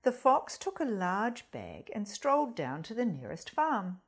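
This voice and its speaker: Expressive, slow-paced woman reading a children's story. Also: mid-range voice, slightly rough. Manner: expressive, deliberate, addressing young listeners. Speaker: storytime reader at a primary school.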